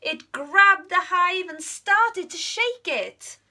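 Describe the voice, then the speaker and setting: Lively, bright-voiced woman reading a storybook. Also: very high voice, slow. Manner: expressive, dramatic emphasis. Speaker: primary school teacher reading a storybook. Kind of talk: animated storybook narration for young children.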